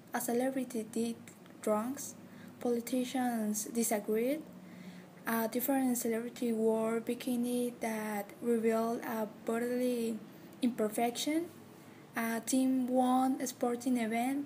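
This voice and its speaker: Young woman acting a slow, mellow-voiced gentle nurse. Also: fairly high pitch, lightly acted, poor audio. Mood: light teasing. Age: teenager or young adult, high school student. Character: gentle female nurse chatting with a young patient. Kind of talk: amateur student book dramatization. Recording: amateur recording with faint background noise.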